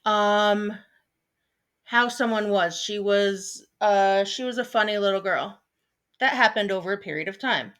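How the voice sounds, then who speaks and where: A woman in her thirties, a teacher, explaining a lesson in a relaxed, chatty way, with frequent ums and small asides. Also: medium pitch, unhurried. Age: 30s. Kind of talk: recorded online lesson. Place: at home, seated at a desk.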